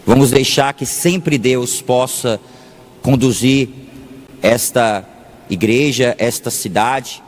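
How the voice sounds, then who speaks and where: Slow-paced Brazilian priest in an echoing hall. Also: male voice, medium pitch, a little mellow. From Salvador, Bahia, Brazil. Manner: deliberate, pausing often, repeats phrases for emphasis. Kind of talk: sermon. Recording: long echoing reverb of a large hall.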